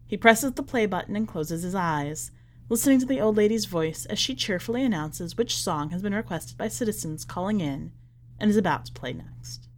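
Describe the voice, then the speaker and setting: A woman reading in a measured, expressive way, as a podcast host presenting and narrating short fiction. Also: mid-range voice, slight background noise. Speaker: podcast host and story reader.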